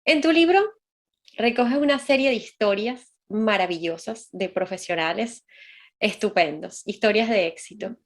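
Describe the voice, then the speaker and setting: A woman's voice: medium pitch, normal speed. Woman hosting a reflective conversation. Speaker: interview host.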